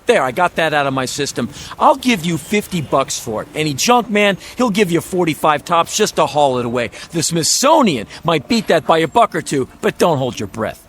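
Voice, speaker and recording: A man's voice: fairly high pitch, very animated, moderate pace, hardly any pauses, fairly bright tone, a little hiss or hum. An actor playing a slippery, folksy used-car salesman with an American accent, pushing glib, scornful patter in a radio drama. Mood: mocking, dismissive. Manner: glib, theatrical hard-sell patter.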